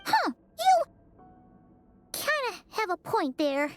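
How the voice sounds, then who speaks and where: A woman voicing a tiny, childlike, excitable fairy companion in a video game, with a squeaky, expressive delivery. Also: takes it slowly, soft background music, exaggerated voice. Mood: puzzled, curious. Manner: refers to self in third person, expressive. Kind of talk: video game character acting.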